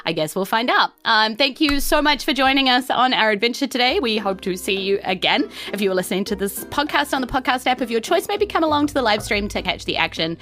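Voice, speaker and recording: A woman's voice: fairly high pitch, lively intonation, quick pace, hardly any pauses, a bit bright. A woman with a New Zealand accent hosting a tabletop game podcast in an informal, rambling, self-deprecating way. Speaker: game master and podcast host. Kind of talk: casual live-streamed game narration and chatty sign-off. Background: faint music.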